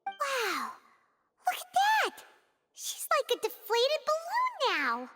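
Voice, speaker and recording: Casting: tiny excitable fairy companion, squeaky and extremely high, theatrical, video game detective sidekick over music. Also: female voice, takes it slowly, heavily acted. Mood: teasing. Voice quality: squeaky, childlike. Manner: theatrical, eager storytelling. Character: small excitable childlike fairy girl companion playing detective. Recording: music behind the voice.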